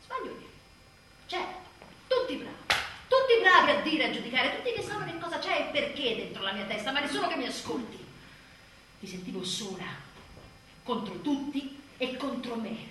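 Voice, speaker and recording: In a roomy, echoing space, a worn-out young mother in a radio play monologue. Her voice is high and swings dramatically in pitch. She is sardonic, desperate and volatile, heard a little off the mic. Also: sing-song, normal speed, pauses often. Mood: bitter agitation. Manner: volatile, sardonic, swearing, swinging from outbursts to murmurs. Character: exhausted, embittered young mother confessing, sarcastic and desperate. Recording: roomy echoing stage, distant mic, faint noise.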